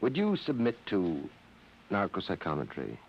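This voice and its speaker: Low-voiced clinical psychiatrist, old radio. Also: male voice, huge pitch swings, breathy edge, full character voice. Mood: calm, clinical. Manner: measured, clinical, formal. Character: calm, probing male army psychiatrist. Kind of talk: old-time radio drama acting. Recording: dull, noisy vintage radio recording.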